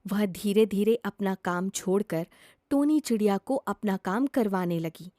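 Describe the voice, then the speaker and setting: Mellow, dry-sounding woman storyteller. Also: mid-range voice, a little slow, no room sound. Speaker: storyteller, narrator. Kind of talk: scripted children's story narration.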